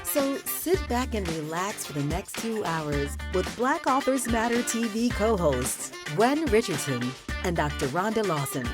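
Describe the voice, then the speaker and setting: A woman's voice: medium pitch, big pitch swings, unhurried, hardly any pauses. A woman announcer reading a scripted show intro as a voice-over in a polished, inviting way. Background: music.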